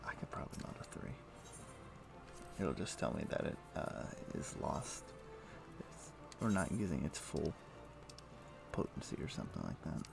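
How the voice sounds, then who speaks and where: A man streaming a game, chatting casually and thinking aloud in a halting, muttering way as he plays. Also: a bass voice, sing-song, creaky, over music. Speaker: game livestreamer. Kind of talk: casual livestream chat with gameplay commentary.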